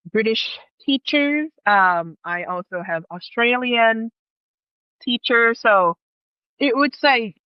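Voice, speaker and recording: A woman's voice: on the high side, slow, smooth, no echo, dull audio. A woman from Thailand with a Thai accent, telling personal stories casually as an interview guest in her second language.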